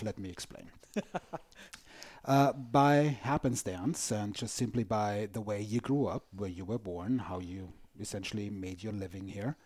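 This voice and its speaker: Austrian guy on a podcast, warm mellow voice, makes his point hard. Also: fairly low pitch. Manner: emphatic, explanatory. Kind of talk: conversational discussion.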